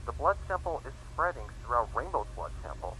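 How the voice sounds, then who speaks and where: A man's voice: mid-range voice, big pitch swings, fairly quick, over music, lightly acted, poor audio. A man voicing an earnest pony scientist who records experiment logs in careful, clinical phrases, as dramatic fan fiction narration. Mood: focused, amazed.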